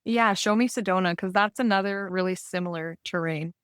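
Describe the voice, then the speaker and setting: A woman with a smooth, clear voice talks casually in a close, dry recording. Her manner is chatty and informal, as in an easygoing conversation, and her pitch sits in a middle range. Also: fairly quick, fairly bright tone, no room sound. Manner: chatty, informal, frequent use of "like". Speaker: podcast co-host or guest.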